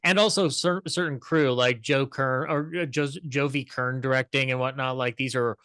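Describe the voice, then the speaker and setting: A man on a podcast panel chatting casually, haltingly repeating words as he searches for the next one. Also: mid-range voice, steady tone, bright, forceful tone, clear, resonant tone, no room sound, studio quality. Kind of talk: casual chat about old television.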